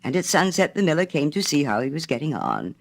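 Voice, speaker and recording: A woman's voice: sits low, huge pitch swings, quick pace, vocal fry. A woman reading a literary story aloud in a theatrical manner, with dramatic phrasing. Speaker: storyteller, reader. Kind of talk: expressive literary storybook narration.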